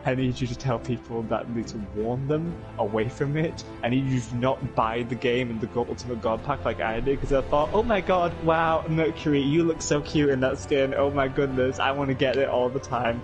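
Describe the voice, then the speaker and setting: A man's voice: fairly even intonation, normal speed, few pauses. A young man with a Yorkshire accent, streaming a game with a friend and ranting theatrically. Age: young adult. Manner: theatrical, self-pitying, swings into high whiny voices. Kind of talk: casual gaming chat. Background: game music.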